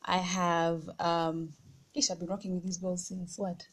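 A young woman hosting a casual chat with her friends, chatty and quick to laugh. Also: on the low side, flat delivery, breathy edge. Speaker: host.

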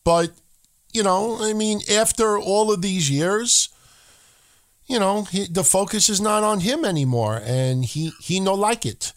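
Man, podcast co-host, fairly high voice, animated, profane casual chat. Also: a little slow. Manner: animated, swears freely, slips into joking broken-English voices.

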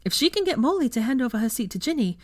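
Brisk, clever young witch, voiced by a woman, pitched up, persuasive. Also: big pitch swings, darkish tone, dry recording. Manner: conciliatory and arch by turns.

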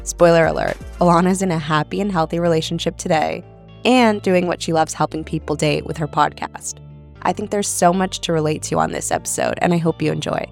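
A woman's voice: low-pitched, expressive, a little fast, creaky. A woman podcast host with a cold and a nasal voice, reading a friendly episode intro. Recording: faint music underneath.

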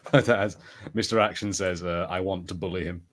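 Guy co-hosting a podcast, kinda low voice, casual chatty style. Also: normal speed. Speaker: podcast co-host. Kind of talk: casual pop culture discussion.

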